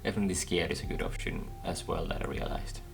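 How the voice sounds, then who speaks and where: A man's voice: low-pitched, huge pitch swings, quick pace, vocal fry, music in the background. A man giving casual commentary as he plays a game, musing and thinking aloud. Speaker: gaming video host.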